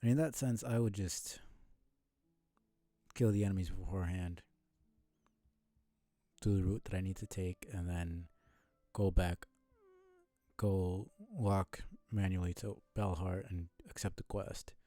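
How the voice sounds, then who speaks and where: A man streaming a video game with casual commentary, musing and thinking aloud in a low-key way. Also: fairly even intonation, measured pace, pauses often, mellow, no echo.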